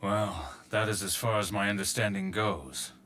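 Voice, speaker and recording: An actor voicing a stern, resolute ancient Chinese warrior general in a video game, grave and blunt. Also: male voice, fairly low pitch, moderate pace, sharp tone. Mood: grave, measured.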